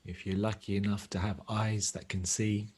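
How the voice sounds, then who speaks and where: A man leading a guided meditation as a teacher, speaking gently and without hurry, leaving long pauses. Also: lowish voice, flat delivery, slightly rough.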